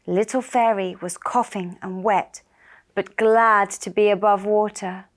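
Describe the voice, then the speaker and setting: A woman telling a children's puppet story in a gentle, deliberate and soothing manner. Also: medium pitch, fairly even intonation. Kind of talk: slow narrated children's story.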